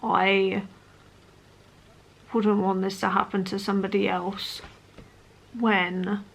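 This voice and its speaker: A woman in her late twenties talking personally to camera as a vlogger, in an earnest, hesitant, halting way. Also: mid-range voice, flat delivery, gravelly. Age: late 20s.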